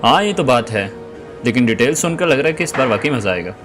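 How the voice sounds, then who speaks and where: A man's voice: medium pitch, expressive, quick pace, music in the background, close to an everyday voice. A man playing a clever, self-assured young university student in an audio drama. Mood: eager.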